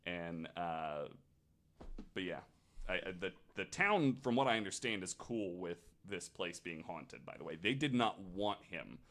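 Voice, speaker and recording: Low-voiced man telling ghost stories. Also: animated, unhurried, a bit bright. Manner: joking, casual swearing. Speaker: podcast host. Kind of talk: casual retelling of ghost stories from notes.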